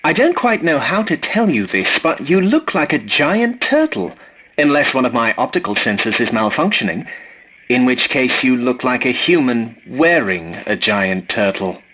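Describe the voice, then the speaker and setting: A fussy, swooping robot butler. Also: male voice, mid-range voice, sing-song, moderate pace, a bit bright, low-quality recording. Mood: flustered, prim. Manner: prim, formal, dryly sarcastic. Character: fussy, polite, snarky robot scavenger butler. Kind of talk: scripted video game character lines.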